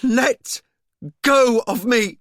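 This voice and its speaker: A man voicing a fierce young woman who shouts commands and threats. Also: a little high, extremely slow, no room sound, studio quality, voice pushed up. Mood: commanding shout.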